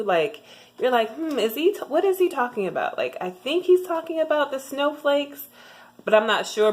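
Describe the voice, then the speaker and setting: A young adult woman giving a casual tutorial vlog, chatty with frequent ums and restarts. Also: medium pitch. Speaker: vlogger sharing language tips. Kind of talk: casual tutorial talk to camera.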